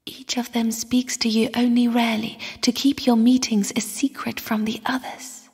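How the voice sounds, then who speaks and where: Breathy, whispering spirit woman in deep reverb. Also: level tone. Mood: urgent warning. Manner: slow, hushed, solemn delivery. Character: mysterious, sorrowful young spirit sister of the void. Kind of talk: video game character voice acting. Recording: long cavernous reverb.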